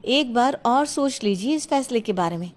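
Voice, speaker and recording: A woman's voice: fairly high pitch, animated, moderate pace, lightly acted. A woman voicing a determined young village daughter-in-law in a cartoon story, speaking in a firm, earnest manner. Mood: defiant.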